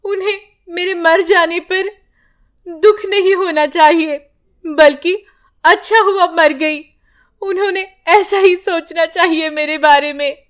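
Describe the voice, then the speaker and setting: A woman's voice: very high-pitched, fairly even intonation, slow pace, fairly bright tone, voice pushed up, full character voice. A woman voicing a grieving young mother who scolds harshly but breaks down crying in prayer. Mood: tearful. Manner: scolding, then sobbing prayer.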